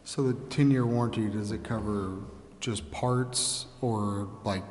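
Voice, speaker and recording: A man's voice: fairly low pitch, steady tone, measured pace, a little mellow, slight background noise. A man, a council member, asking hesitant questions at a meeting. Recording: distant echoey chamber.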